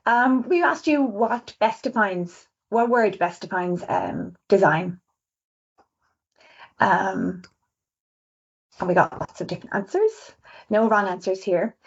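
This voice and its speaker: A woman with an Irish accent, a visual designer presenting survey results on a webinar in a quick, chatty way. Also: medium pitch, husky. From Ireland. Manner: fast-talking, chatty, self-aware. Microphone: video call. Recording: dull lo-fi webinar audio.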